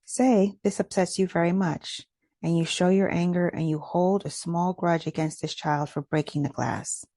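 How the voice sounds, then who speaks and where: Low, mellow woman guiding a meditation. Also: fairly even intonation, dry recording. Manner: gentle, measured, instructional delivery. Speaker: meditation guide. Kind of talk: guided meditation.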